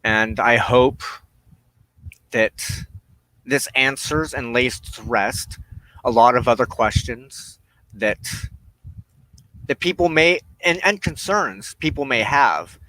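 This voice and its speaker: A man hosting a personal spiritual talk, speaking in deliberate, halting phrases with firm emphasis. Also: medium pitch, bright, forceful tone, no room sound, poor audio. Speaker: host of a weekly witchcraft broadcast.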